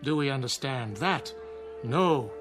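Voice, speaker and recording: Radio play role: older gentleman, avuncular and wistful, medium pitch, music clearly underneath. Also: lively intonation, slow pace. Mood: earnest. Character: genteel, wistful older male club member. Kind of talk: radio drama acting.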